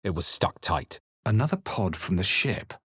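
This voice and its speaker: A brooding fantasy game hero with a deep, husky voice. He is grave, watchful and theatrical, and his pitch moves widely as he delivers deliberate, dramatic lines. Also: very animated, a bit bright, no room sound, clean studio sound, heavily acted. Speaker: player character in a fantasy video game. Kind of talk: dramatic game voice lines and first-person narration.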